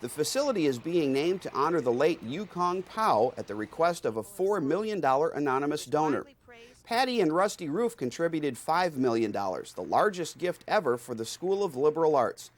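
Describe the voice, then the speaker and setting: A man's voice: on the high side, sing-song, normal speed. A man reading scripted news narration as the narrator of an institutional newsreel.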